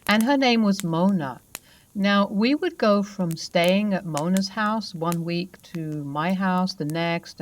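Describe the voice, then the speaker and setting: A young adult woman narrating her own true paranormal story in a steady first-person telling, with occasional fillers. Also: on the low side.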